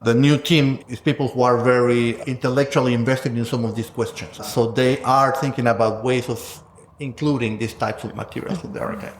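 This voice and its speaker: A man speaking as a guest scholar in an interview, measured and thoughtful, often ending points with a questioning "no?". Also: mid-range voice, steady tone, roomy sound, slight background noise. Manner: thoughtful, measured, tags statements with "no?". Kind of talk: conversational interview.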